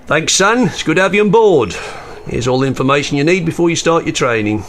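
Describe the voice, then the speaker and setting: A man acting a brisk, condescending British Army recruiting officer in a radio play. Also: fairly high pitch, animated, a bit bright. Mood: patronising. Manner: brisk, patronising. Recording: faint noise and room ambience.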